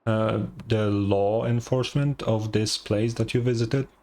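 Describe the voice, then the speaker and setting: A man's voice: lowish voice, fairly even intonation, unhurried, darkish tone. A man who is not a native English speaker, giving casual commentary on a game, musing aloud and swearing. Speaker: gaming streamer. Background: game music.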